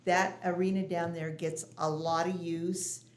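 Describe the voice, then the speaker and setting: A woman's voice: fairly low pitch, little pitch movement, measured pace. A woman giving public comment at a city commission meeting, indignant, with frequent restarts. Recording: echoey meeting room.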